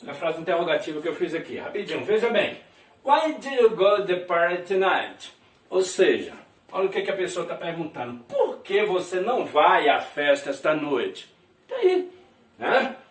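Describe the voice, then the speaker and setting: Lively, high-voiced male teacher in an echoey room. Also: normal speed. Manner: repeats phrases, checks in with "né?" and "veja bem". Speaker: English teacher. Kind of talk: classroom lesson explaining words. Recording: echoey room, mic at a distance.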